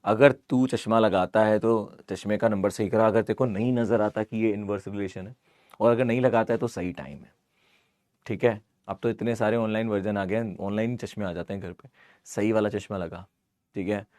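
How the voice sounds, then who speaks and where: A man with a hoarse voice teaches an informal live online maths class, teasing and scolding his students and repeating phrases for emphasis. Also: lowish voice, steady tone, normal speed, darkish tone, no room sound. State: hoarse, sore throat.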